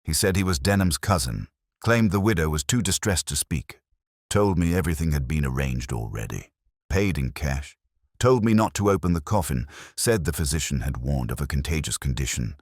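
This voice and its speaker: A thin, uneasy man of forty-odd from a Victorian mystery, read by a male narrator. He talks in a low voice, quietly confessional and weighed down by guilt, with restless movement in his pitch. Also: animated, normal speed, no room sound, studio quality. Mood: guilty, confessing. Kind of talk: dramatised story reading.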